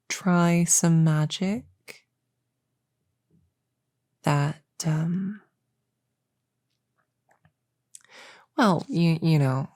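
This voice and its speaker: A woman playing a nervous, eager young novice witch in an ASMR roleplay, speaking softly and hesitantly, often near a whisper, close to the mic. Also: sits low, dry recording, heavily acted. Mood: sheepish, hesitant. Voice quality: soft, often dropping into whisper. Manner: halting, soft-spoken, hesitant and reassuring. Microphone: close-up mic in a dry, quiet space.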